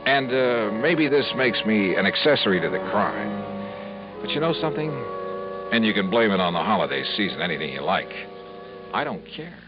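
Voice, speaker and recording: A man's voice: lowish voice, very animated, normal speed, highs cut off, over music. A man playing a laconic, world-weary insurance investigator in a 1950s radio drama. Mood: wry. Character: hard-boiled male insurance investigator. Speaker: lead actor.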